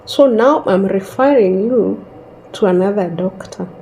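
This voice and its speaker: A woman in her thirties slowly telling a personal story in a calm, reflective way, pausing often. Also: medium pitch, slight background noise, poor audio. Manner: slow and reflective, with long pauses. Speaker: host sharing a personal story.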